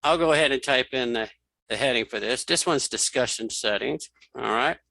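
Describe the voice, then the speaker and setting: A man giving a casual software tutorial, thinking aloud as he demonstrates each step. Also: medium pitch, moderate pace, gravelly, no room sound, low-quality recording. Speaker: instructor.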